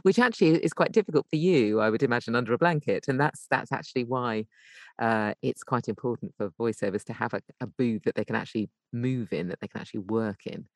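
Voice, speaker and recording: A British woman talking conversationally as an interview guest, explaining things in an animated, emphatic way from a home voice booth. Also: extremely low pitch, fast talker, no room sound. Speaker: podcast guest, voice actor and coach.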